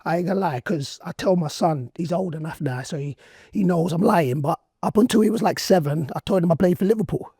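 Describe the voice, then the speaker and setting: Guy hosting a podcast, voice shot and husky, dark tone, dry close sound. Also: on the high side, a little fast, no echo. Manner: hoarse, excitable street slang. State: losing his voice. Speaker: podcast host. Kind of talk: casual interview chat.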